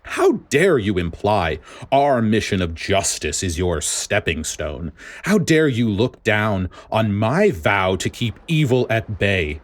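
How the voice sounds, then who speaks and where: A man performs a stern male officer from an audio story, full of righteous fury. He snarls with heavy, contemptuous emphasis, his pitch moving forcefully up and down. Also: very animated, slow pace, few pauses, heavily acted. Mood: furious. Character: stern, furious male military officer obsessed with justice.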